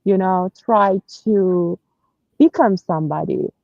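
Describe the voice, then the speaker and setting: An Iranian-accented woman in her thirties talks through her answers with frequent pauses and restarts, earnest and open. Her voice sits at a middle pitch. The sound is dry and a little dull, as if from a modest mic. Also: vocal fry, a little mellow, no echo. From Iran. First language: Persian. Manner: hesitant, many fillers and restarts, earnest. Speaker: guest. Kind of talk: conversational interview.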